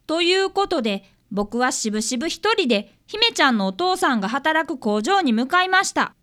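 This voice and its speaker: A woman plays an eager young boy detective in a radio play. The voice is very high, bright and lively in pitch. He speaks politely and earnestly and takes his failures hard. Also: moderate pace, heavily acted. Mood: narrating. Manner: polite, earnest, deferential speech. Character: earnest fifth-grade boy, apprentice detective, polite and self-reproachful. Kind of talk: radio drama acting with narration.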